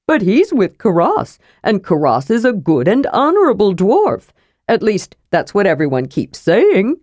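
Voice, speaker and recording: A woman's voice: mid-range voice, huge pitch swings, unhurried, a little mellow, dry recording, studio quality. A woman voicing a small male kender adventurer in a fantasy audiobook, eager, inquisitive and chatty. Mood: eager, astonished.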